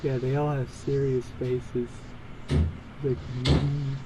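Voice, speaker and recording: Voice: medium pitch, little pitch movement, takes it slowly, heavy background noise. Someone reading fan fiction aloud in a casual, informal way, stumbling over words and correcting themselves.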